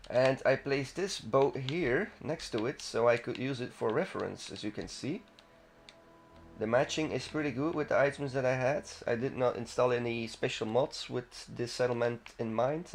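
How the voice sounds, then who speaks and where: A man narrates a video game walkthrough as its host. He talks casually and off the cuff, often breaking off and restarting his sentences as he rambles along. His pitch sits in an average male range. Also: a little hiss or hum.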